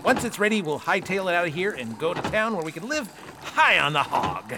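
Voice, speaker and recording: A man's voice: high-pitched, sing-song, brisk, background noise. A man playing a cocky, bossy outlaw gang leader in an audio western, with folksy, taunting delivery. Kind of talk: amateur radio western acting.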